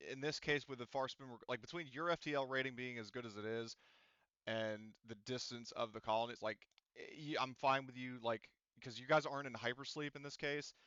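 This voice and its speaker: A man's voice: medium pitch, fairly bright tone, smooth, no echo, lo-fi sound. A man working as a game master, narrating and explaining a tabletop roleplaying game in a rambling, informal way.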